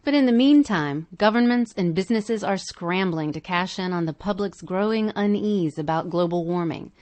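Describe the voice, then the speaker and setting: A woman's voice: fairly low pitch, moderate pace, a little mellow. A woman reading scripted narration as a podcast host.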